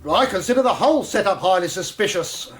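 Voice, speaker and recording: High-pitched English police inspector. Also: male voice, expressive, fairly quick, slight background noise. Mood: suspicious, dogged. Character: dogged, suspicious working-class male police inspector. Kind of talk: radio drama courtroom acting.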